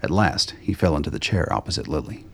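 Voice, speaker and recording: A man narrates a radio play in a scripted storytelling style. His voice is extremely low, with a gravelly creak and a husky, rough grain. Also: very animated, fast.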